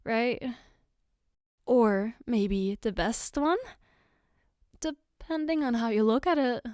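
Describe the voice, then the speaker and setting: A woman performs a caring young girlfriend in an intimate ASMR roleplay. Her voice sits fairly high and moves very slowly, with gentle, hesitant phrasing as she reassures her partner. The sound is dry and close. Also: lively intonation, clear, resonant tone, dry recording. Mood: warm, reassuring. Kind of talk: ASMR roleplay, spoken to the listener.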